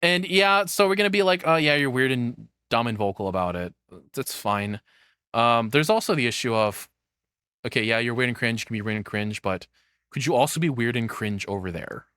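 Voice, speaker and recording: A man's voice: mid-range voice, expressive, moderate pace, a bit bright, smooth. A man doing casual off-the-cuff reaction commentary as a streamer, rambling along and swearing freely.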